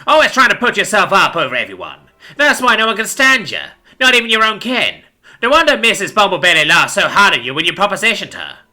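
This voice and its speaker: A man's voice: high-pitched, huge pitch swings, quick pace, sharp tone, pitched above the performer's own voice, full character voice. A man voicing a callous, corrupt pig policeman in a story, with crude, folksy, scolding speech. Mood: exasperated. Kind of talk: dramatic storybook character voice.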